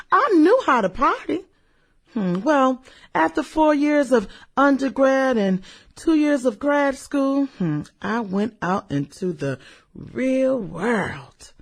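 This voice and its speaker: A woman acting a brash, vain ex-cheerleader in a satirical radio play. Her voice is fairly high and swoops freely, with unhurried, drawn-out sassy lines. She loves gossip and dramatic exclamations. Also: heavily acted. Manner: sassy, drawling, theatrical exclamations.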